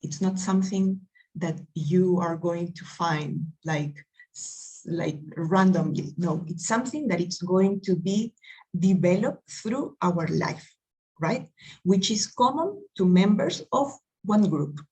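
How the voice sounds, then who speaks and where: A woman's voice: lowish voice, takes it slowly, a little mellow, rough recording. Earnest Peruvian-accented woman presenter. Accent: Peruvian Spanish. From Peru. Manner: earnest, searching phrasing with tag questions like "right?". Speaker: workshop presenter. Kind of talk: online diversity training session.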